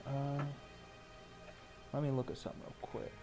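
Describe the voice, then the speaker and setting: A man's voice: medium pitch, little pitch movement, moderate pace, music in the background. A man acting as game master, casually narrating a tabletop roleplaying game and talking informally and hesitantly as he checks the rules.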